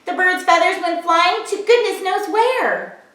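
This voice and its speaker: A woman's voice: very high-pitched, very animated, measured pace, a bit bright. A woman reading a children's storybook aloud, theatrical and rhythmic with the rhymes. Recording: very echoey room.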